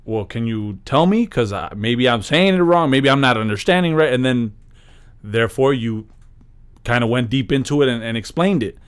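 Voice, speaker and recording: A man's voice: mid-range voice, animated, moderate pace, clear, resonant tone. A man co-hosting a podcast in casual chat, emphatic and profane, often agreeing with his partner. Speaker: podcast co-host.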